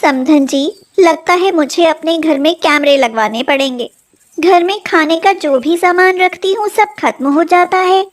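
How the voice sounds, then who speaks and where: An exasperated middle-aged mother-in-law performed by a woman narrator. Her voice is high and deliberate, full of puzzled questions and household scolding in a family storybook style. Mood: suspicious. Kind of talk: animated moral story acting.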